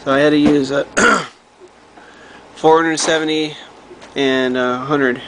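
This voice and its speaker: High-pitched man casually narrating a repair. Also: fairly even intonation, slow, fairly bright tone, a little hiss or hum, low-quality recording. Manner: informal, thinking aloud, mild swearing. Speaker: hobbyist repairing vintage audio gear. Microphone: handheld camera he operates himself.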